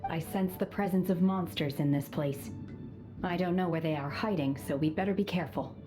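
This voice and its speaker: An actress voicing an aloof, composed young woman trained by immortals, speaking in a calm, formal, measured way in a video game. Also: on the low side, close to an everyday voice. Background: game music.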